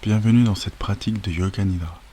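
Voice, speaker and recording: A man guiding a sleep meditation as a yoga nidra teacher, speaking calmly and soothingly with long, unhurried pauses. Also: on the low side. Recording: steady wave noise over the voice. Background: sound of ocean waves.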